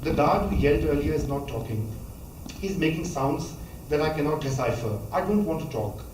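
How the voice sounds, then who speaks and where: A man, reading a memoir passage aloud at a measured pace, now and then stumbling and restarting a word. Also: fairly high pitch, expressive, a little mellow, a little hiss or hum. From Pakistan. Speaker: author reading from his book. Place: college lecture hall. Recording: echoey hall, voice from a distance, faint ambience.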